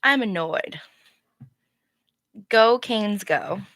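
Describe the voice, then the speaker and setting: Bright teasing young woman host. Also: mid-range voice, lively intonation, very slow, dry recording. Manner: teasing, playful, laughs often. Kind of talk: casual sports chat.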